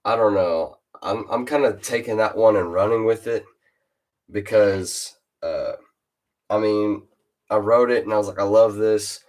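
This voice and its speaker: A teenage boy with a super deep, low-pitched voice hosts a laid-back chat. He hesitates a lot, fills gaps with "uh," and restarts his sentences as he goes. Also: unhurried, darkish tone. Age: teens. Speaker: host interviewing a fellow rapper. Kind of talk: casual chat.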